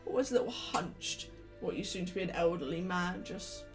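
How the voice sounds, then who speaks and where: Woman running a tabletop rpg, lowish voice, describes stuff haltingly, music behind her. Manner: descriptive, halting and self-correcting. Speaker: game master. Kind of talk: narrating a tabletop game, improvised.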